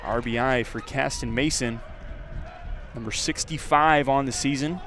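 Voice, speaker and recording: A man's voice: mid-range voice, measured pace. A man doing live play-by-play baseball commentary as a radio announcer. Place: outdoor ballpark. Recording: steady background noise at outdoor ballpark.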